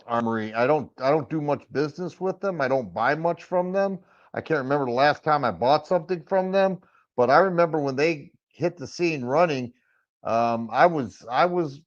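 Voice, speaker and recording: A middle-aged man from Michigan with a raspy voice, hosting a casual firearms livestream and chatting with viewers, rambling and restarting his sentences often. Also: fairly high pitch, expressive, normal speed. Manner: rambling, with frequent stammered restarts. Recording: dull lo-fi stream audio.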